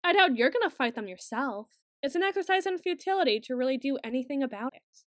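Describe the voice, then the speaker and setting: A woman's voice: sits high, expressive, fast, no echo, clean studio sound. A woman playing an awkward, bookish, secretly obsessive student in an ASMR roleplay, speaking in stilted, over-formal phrases with some hesitation. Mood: defensive, detached.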